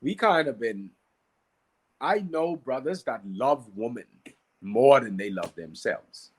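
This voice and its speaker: A man from the Bahamas with a Bahamian accent and a slightly husky voice, teaching conversationally and emphatically, repeating key phrases and asking rhetorical questions. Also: mid-range voice, animated, slow pace. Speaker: podcast guest, Qigong practitioner and teacher.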